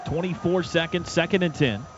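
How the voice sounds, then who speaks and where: An American man calling live football play by play on radio, in clipped phrases that rise to shouts on big plays. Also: fairly high pitch, moderate pace. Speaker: play-by-play announcer. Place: stadium radio booth. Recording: dull radio broadcast, loud stadium noise behind.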